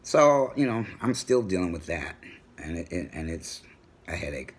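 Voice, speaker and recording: A middle-aged man with a booming voice, talking assertively in a personal home vlog. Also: normal speed, slightly rough. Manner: assertive, animated storytelling. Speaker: vlogger. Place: home room with a world map on the wall.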